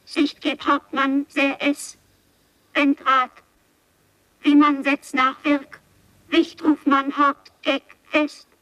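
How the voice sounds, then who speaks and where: An actress playing a barely conscious young girl in an experimental radio play, speaking in halting, disjointed fragments. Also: high voice, level tone, bright, forceful tone, dry recording, full character voice, rough recording. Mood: dazed, fragmented.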